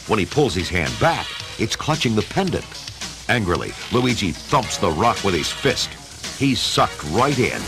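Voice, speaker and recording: A man giving theatrical scripted narration for a radio adventure story. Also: lowish voice. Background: music.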